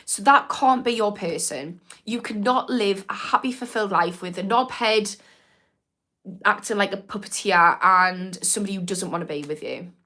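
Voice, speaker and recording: A woman's voice: medium pitch, normal speed, pushed and bright. Northern english lady from newcastle, 30s, straight talking advice on a stream, says like a lot. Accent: mild northern English (Newcastle). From Newcastle, England. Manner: frank, chatty, lots of "like" fillers. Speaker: mindset livestream host and former therapist. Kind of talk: casual livestream chat reading comments.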